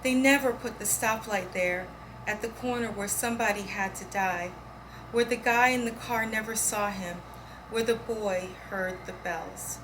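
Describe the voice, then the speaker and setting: A woman's voice: mid-range voice, fairly even intonation, measured pace. A woman reading poems aloud as a poetry reader. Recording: clear background noise, room ambience.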